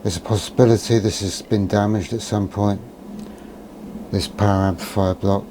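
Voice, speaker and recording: Mellow, low-voiced man, musing. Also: fairly even intonation, moderate pace, slight background noise, low-quality recording. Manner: musing, understated, asks himself questions. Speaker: electronics hobbyist presenter. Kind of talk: casual hands-on demonstration, thinking aloud.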